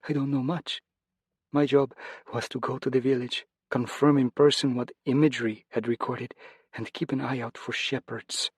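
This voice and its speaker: A man's voice: medium pitch, level tone, normal speed, husky, no room sound, clean studio sound, pitched above the performer's own voice, close to an everyday voice. A man voicing an anguished man freed from a demon, sobbing and screaming his pleas. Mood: urgent.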